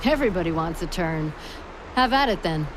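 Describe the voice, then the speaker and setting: Woman playing a lowish, swaggering metalsmith. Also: animated, a little fast, noisy background, natural voice. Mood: playful, frank. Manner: swaggering, playful, frank. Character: bold, flirtatious, earthy woman metalsmith. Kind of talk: video game cutscene acting.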